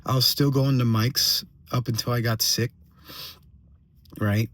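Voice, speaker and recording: A man with a slightly hoarse voice giving a casual personal update as a vlogger, venting in a halting way, repeating phrases and checking in with tag questions. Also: medium pitch, steady tone, a bit bright. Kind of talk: casual solo monologue, venting.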